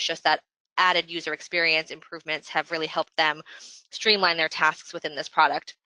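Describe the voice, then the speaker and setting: A woman presenting a software product webinar in an explanatory, businesslike way. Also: lowish voice, dry recording, rough recording.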